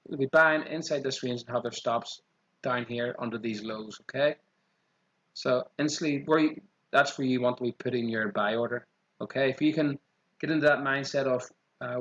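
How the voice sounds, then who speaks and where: Fast-talking Irish man. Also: mid-range voice, fairly bright tone, lo-fi sound. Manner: quick, repeats 'okay' as a filler. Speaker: trading channel host. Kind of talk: screen-share chart walkthrough.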